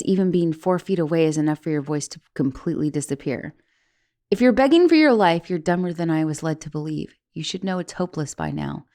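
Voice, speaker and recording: A woman's voice: fairly low pitch, dark tone. A woman voicing a vengeful, teasing young giantess in an ASMR roleplay monologue, mocking and menacing in a playful, theatrical way. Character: gloating, teasing vengeful young giantess taunting shrunken captive.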